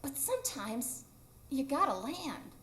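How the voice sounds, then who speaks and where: A woman's voice: high-pitched, very animated, slow, a bit bright, exaggerated voice. A woman acting a playful, carefree and stubborn ageless boy in a live radio drama. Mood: playful, excited. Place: improv theatre stage, live audience. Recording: roomy live stage recording.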